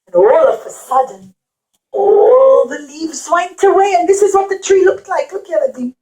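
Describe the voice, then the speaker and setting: A woman's voice: very high-pitched, lively intonation, normal speed, mellow, poor audio. A woman telling a puppet-show story to young children, explaining simply and repeating phrases with dramatic emphasis.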